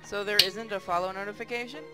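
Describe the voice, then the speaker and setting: A woman's voice: medium pitch, steady tone, fast talker. A woman co-hosting a game stream, chatting casually in a teasing, sweary way. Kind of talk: casual chat, teasing co-host. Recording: music clearly playing behind voice. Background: music.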